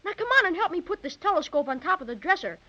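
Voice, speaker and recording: A woman playing an earnest, excitable young boy full of wonder in a 1930s children's radio serial. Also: very high-pitched, fast, exaggerated voice. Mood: amazed, excited. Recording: dull lo-fi 1930s radio transcription.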